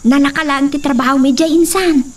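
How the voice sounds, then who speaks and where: A young woman playing a polite village girl, high voice. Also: fairly even intonation, brisk, close to an everyday voice. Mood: kind. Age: young adult. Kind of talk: amateur drama acting. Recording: distant mic with faint insect noise.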